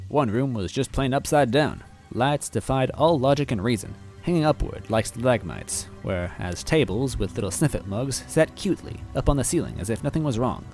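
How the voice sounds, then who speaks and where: A man reading a story aloud in first person, with deadpan, mock-earnest narration. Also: medium pitch. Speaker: story reader.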